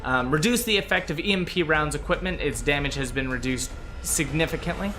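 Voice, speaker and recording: A young man covering a game update in a casual way, hoarse and out of breath, with frequent filler sounds and restarts. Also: fairly high pitch, a little fast, sharp tone, soft background music. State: losing his voice, out of breath. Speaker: gaming video host.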